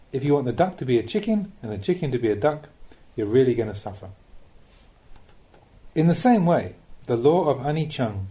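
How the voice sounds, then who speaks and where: Mellow man reading teachings, lively intonation. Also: mid-range voice. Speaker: narrator reading Buddhist teachings. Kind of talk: audiobook reading of a spiritual talk.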